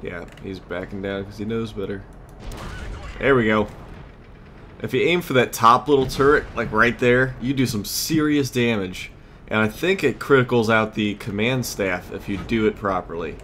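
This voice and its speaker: Low-pitched man commentating on a game. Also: moderate pace, fairly bright tone. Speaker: gamer, let's play host. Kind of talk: casual gameplay commentary. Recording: clear background noise, game ambience.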